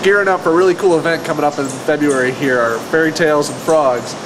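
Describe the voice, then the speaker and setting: A man's voice: fairly high pitch, quick pace, noisy background. A man speaking as a zoo keeper, giving a casual, explanatory educational presentation. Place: zoo exhibit area.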